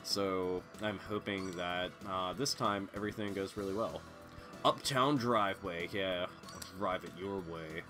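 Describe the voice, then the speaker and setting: Congested man rambling over loud game music. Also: sits low, a little slow. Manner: rambling, profane, many fillers. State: congested from illness. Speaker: gaming channel host. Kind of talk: casual gameplay commentary. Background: loud racing game music.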